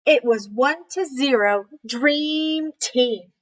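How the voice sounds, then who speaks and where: A woman with a high voice reads a picture book to young children like a teacher at story time. She goes slowly and puts emphasis on the sound words. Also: rough recording. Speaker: read-aloud storyteller, teacher.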